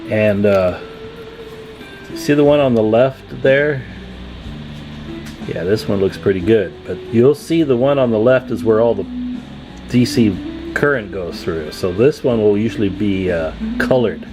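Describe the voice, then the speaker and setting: A man's voice: on the low side, animated, measured pace, long pauses, a little mellow, faint music behind, poor audio. Casual, chatty man demonstrating repairs. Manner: chatty, informal, folksy. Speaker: host of a CB radio repair channel.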